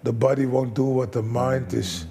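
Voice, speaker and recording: A man, a fight trainer, telling animated stories in broken English as an interview guest. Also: mid-range voice, normal speed. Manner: animated storyteller, broken English, acts out quotes. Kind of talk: casual storytelling interview.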